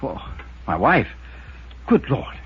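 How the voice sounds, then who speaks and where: A man's voice: mid-range voice, big pitch swings, slow, slightly rough, exaggerated voice. An American man playing a henpecked, evasive husband in a 1950s radio drama, stammering, fussy and pleading. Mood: alarmed, pleading. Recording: muffled noisy vintage radio recording.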